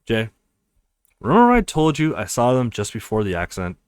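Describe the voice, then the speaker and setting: A man reading visual novel dialogue as a wry, caring adult brother who gently teases his sister. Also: medium pitch, huge pitch swings, brisk, clean tone, no echo, clean studio sound. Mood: earnest.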